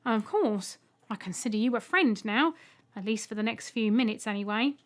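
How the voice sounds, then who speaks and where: A woman's voice: fairly high pitch, moderate pace, natural voice. A small male robot egg from a storybook , acted out by a woman, cheerful and oblivious. He explains things in a chirpy, matter-of-fact way and is eager to oblige. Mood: friendly, reassuring.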